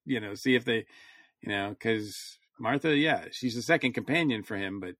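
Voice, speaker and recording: A man's voice: medium pitch, normal speed, a bit bright, no echo, studio quality. A man talking casually as a podcast host, rambling a little as he thinks aloud. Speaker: podcast host reviewing comics. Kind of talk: casual chat.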